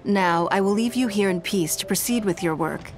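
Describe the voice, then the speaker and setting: Woman voicing a refined businesswoman in a game, shrewd, composed, measured, elegant. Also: mid-range voice, soft background music, close to an everyday voice. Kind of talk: game dialogue.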